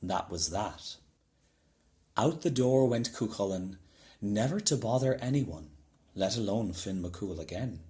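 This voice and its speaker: A man reading a children's storybook aloud in an expressive narrating style. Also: fairly low pitch, measured pace. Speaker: storybook reader.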